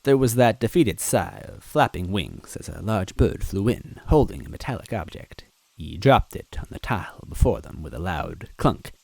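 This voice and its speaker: A man with a fairly low voice narrates a story, expressive and lively, now and then dropping in a personal aside. The recording is dry and close, with no room sound. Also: moderate pace, creaky, few pauses, no echo. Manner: expressive, adds asides to the listener. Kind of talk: reading fan fiction aloud.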